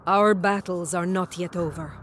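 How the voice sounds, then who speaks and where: An actress playing a steady, grave ally in a mythological video game, serious and measured. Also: female voice, fairly low pitch, a little hiss or hum, lightly acted.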